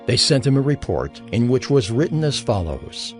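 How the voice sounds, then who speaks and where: A man narrating a dramatized Bible recording in a measured, expressive way. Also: medium pitch, soft background music.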